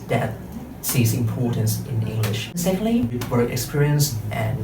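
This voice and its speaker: A Thai-accented man in his thirties delivers a measured, carefully paced talk to an audience, pausing often and correcting himself mid-sentence. His voice sits at an ordinary middle pitch. The recording is roomy and picked up from some distance, with clear music underneath. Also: very animated, noisy background. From Thailand. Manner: careful, measured, frequent pauses and self-corrections. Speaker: interpreter and translator giving a talk.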